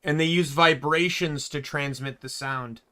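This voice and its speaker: A man's voice: a little high, steady tone, a little slow, sharp tone. A man streaming a game from his room, chatting casually and rambling, often restarting his sentences. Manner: rambling, self-correcting. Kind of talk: casual gameplay chat. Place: home room.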